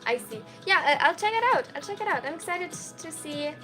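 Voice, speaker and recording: A woman's voice: sits high, lively intonation, fairly quick, bright, forceful tone, soft background music. A woman of twenty-one chatting casually on a livestream, answering viewer questions in a giggly way full of "like" and "um". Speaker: streamer and college student.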